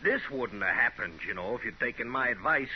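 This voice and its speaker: Man playing a blustering, animated frontier townsman. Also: medium pitch, fairly quick, close to an everyday voice. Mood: blustering, urgent. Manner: blustering, argumentative. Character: pompous, callous town man protesting to the marshal. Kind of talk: 1950s radio Western acting. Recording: muffled 1950s radio recording, faint noise.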